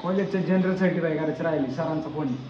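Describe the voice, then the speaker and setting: A man's voice: fairly high pitch, quick pace. A man chatting casually among friends. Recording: muffled, echoey, loud noise.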